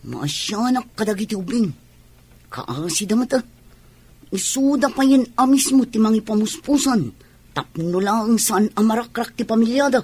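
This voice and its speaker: Radio drama role: friendly, forward village woman played by an actress, high-pitched and a bit husky, Ilocano accent. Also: lively intonation, moderate pace, a little mellow. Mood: earnest.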